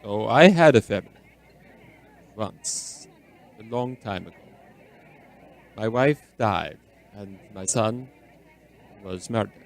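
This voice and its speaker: A mysterious, melancholy gentleman in a radio drama. His voice is on the low side, with formal, measured and polite phrasing that keeps him guarded. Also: animated. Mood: sorrowful. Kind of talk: audio drama dialogue.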